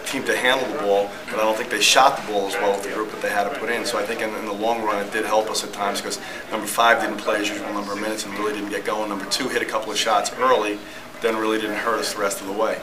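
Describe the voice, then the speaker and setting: A man's voice: fairly low pitch, flat delivery, a crowd in the background. A middle-aged man, a basketball head coach, giving matter-of-fact answers at a postgame press conference. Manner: quick, matter-of-fact explanations. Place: press room in front of a team backdrop. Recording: noisy press room audio.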